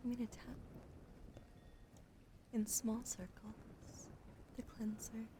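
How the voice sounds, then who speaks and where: A woman streaming ASMR, softly whispering in a chatty, playful way, with a slight lingering cough as she recovers from being sick. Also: a little high, little pitch movement, slow pace. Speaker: livestreamer.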